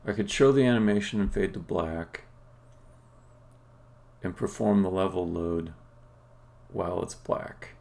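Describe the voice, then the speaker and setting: A man who is a game developer, talking casually and haltingly as he thinks aloud during a live coding stream. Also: sits low, vocal fry, darkish tone.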